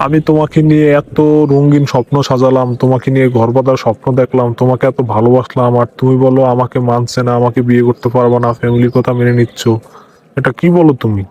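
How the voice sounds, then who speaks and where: A man playing a dreamy, lovestruck young Bangladeshi fellow from a cartoon comedy. His voice is dark and soft-edged, yet the words come quickly. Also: medium pitch, level tone, lightly acted. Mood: heartbroken. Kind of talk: comic cartoon dialogue.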